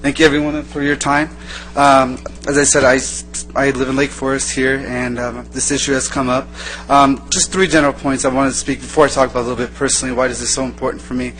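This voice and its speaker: Guy doing public comment at a council meeting, talks fast, earnest, kinda rambly and repetitive. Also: medium pitch, little pitch movement, fairly bright tone, faint music behind, rough recording. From born in Iran, raised in the United States. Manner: earnest, repetitive, loosely improvised. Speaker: resident giving public comment. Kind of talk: informal public speech.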